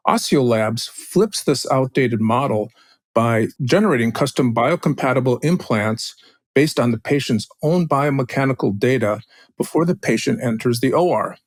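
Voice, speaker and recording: A man's voice: medium pitch, expressive, moderate pace, no room sound. A male podcast host introducing a guest and asking friendly, upbeat questions with fillers. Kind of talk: podcast interview.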